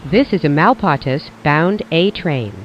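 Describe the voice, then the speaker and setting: A train announcer reading scripted automated station announcements in a measured, formulaic way. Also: medium pitch, expressive, muffled recording, a little hiss or hum.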